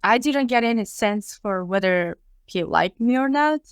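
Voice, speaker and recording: Woman, 30s, Bulgarian accent, clear voice, measured guest on a podcast. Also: fairly high pitch, animated, no echo, studio quality. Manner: measured, with frequent ums and uhs. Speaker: interview guest. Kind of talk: casual conversation.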